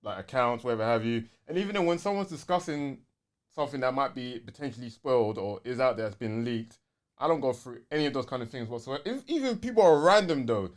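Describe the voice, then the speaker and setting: Animated London man with slangy delivery. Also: mid-range voice, fast. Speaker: podcast co-host. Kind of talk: casual gaming podcast chat.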